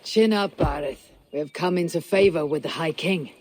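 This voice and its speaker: Brief: actor as a bold Viking raider, blunt and dry, mid-pitched voice. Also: moderate pace, darkish tone, husky, close to an everyday voice. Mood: confident. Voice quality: blunt, confident, dryly witty. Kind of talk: video game cutscene acting.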